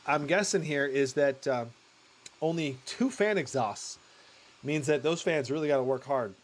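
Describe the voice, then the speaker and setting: A man hosting a live tech review, a loud voice, chatting casually through benchmark numbers with plenty of fillers. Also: medium pitch.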